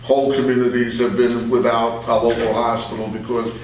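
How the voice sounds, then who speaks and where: A man's voice: medium pitch, little pitch movement, brisk. A man talking casually as a talk show host, agreeing emphatically and speaking in a conversational way. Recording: distant, very echoey room, faint noise.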